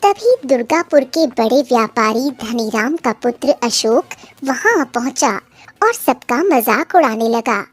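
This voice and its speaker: Children's story narrator, female, high-pitched, measured scripted read. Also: sing-song.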